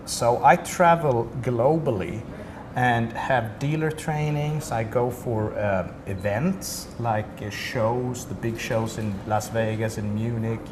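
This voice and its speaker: A man's voice: mid-range voice, takes it slowly. A man from Sweden with a Swedish accent, a company representative explaining a product in detail during an interview. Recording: noisy room ambience.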